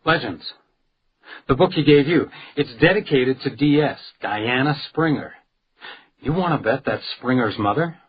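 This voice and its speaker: An actor playing an earnest, level-headed police detective in a radio drama, dogged and probing. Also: male voice, medium pitch, lively intonation, moderate pace, natural voice. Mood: intent, grave. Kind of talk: radio drama acting with narration. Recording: dull, noisy radio drama recording.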